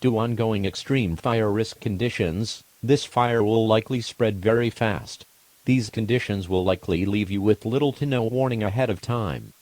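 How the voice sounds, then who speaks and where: A man voicing an impersonal official emergency broadcast announcer, reading a formal alert in a measured way. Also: lowish voice, darkish tone, no room sound, close to an everyday voice. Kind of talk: scripted emergency alert narration.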